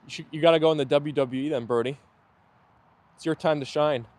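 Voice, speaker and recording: Man, friendly stream host, slightly high voice, loose chatty delivery outside. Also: fairly even intonation, fairly quick, darkish tone. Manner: friendly, chatty, thanks viewers often. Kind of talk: casual live chat reading viewer comments.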